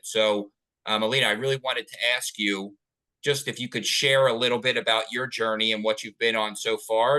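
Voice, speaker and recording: A man's voice: mid-range voice, pushed and bright, poor audio. Earnest rambling male podcast host. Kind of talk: casual interview chat.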